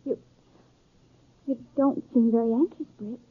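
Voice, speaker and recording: An actress playing an earnest, proud young American bride-to-be in a 1950s radio western, with hesitant phrasing. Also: female voice, a little high, fairly even intonation, a little slow, husky, no room sound. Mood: earnest, hopeful. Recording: muffled, band-limited 1950s radio recording.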